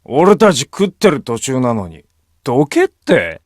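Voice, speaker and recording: An intimidating teenage rugby player from a Japanese visual novel. He is gruff and confrontational, with a lively, swinging pitch. The sound is dry. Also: brisk, dry recording. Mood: threatening. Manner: menacing, confrontational.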